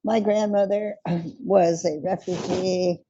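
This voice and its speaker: A woman's voice: mid-range voice, steady tone, takes it slowly, mellow, highs cut off, slight background noise. A woman speaking reflectively on a panel, with many ums and you-knows.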